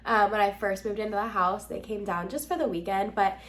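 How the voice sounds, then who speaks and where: A woman's voice: mid-range voice, fairly even intonation, fairly quick, a bit bright. A woman in her twenties with an American accent, chatting candidly and casually as a vlogger, with a nasal, slightly congested voice. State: slightly congested from being sick. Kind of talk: casual chat to camera.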